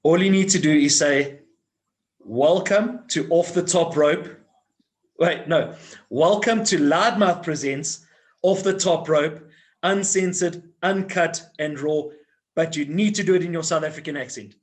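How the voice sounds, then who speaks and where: A man's voice: on the high side, unhurried. A man hosting a podcast over a video call, playful and repeating phrases for emphasis. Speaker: podcast host. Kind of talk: casual video-call chat.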